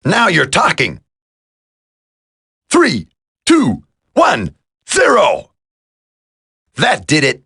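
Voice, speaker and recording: A man voicing an easygoing demolitions commando in a video game, droll, with a gravelly, husky voice. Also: fairly high pitch, huge pitch swings, extremely slow, long pauses, sharp tone, heavily acted. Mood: excited.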